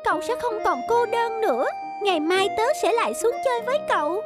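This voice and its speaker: A woman's voice: very high-pitched, expressive, brisk. A woman voicing a sad, lonely, tender little girl in a children's cartoon. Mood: tender, cheerful. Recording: loud music behind the voice.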